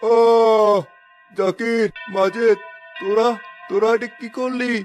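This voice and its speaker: A man's voice: extremely high pitch, fairly even intonation, slow pace. A man playing an earnest, emphatic village shopkeeper in a cartoon story, over faint music. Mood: pained pleading. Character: honest generous village cloth merchant, later a vengeful restless ghost.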